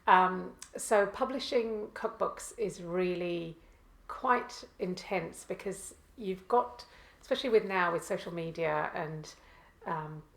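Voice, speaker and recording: A woman from Malawi, a guest author, answering interview questions hesitantly with many pauses and restarts. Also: on the low side, creaky, fairly bright tone. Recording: echoey room.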